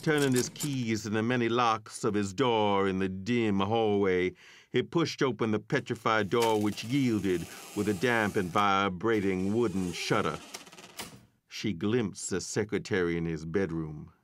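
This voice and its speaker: Guy narrating a ghost story, lowish voice, literary descriptive read, bit of noise behind. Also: a little slow.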